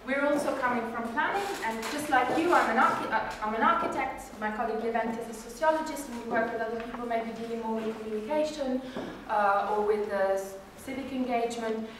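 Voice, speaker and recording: A woman's voice: on the high side, a little fast, few pauses. A woman presenting a book to a small audience in an informal, chatty way, with frequent restarts. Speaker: guest speaker presenting a book. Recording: echoey room, distant mic, noisy.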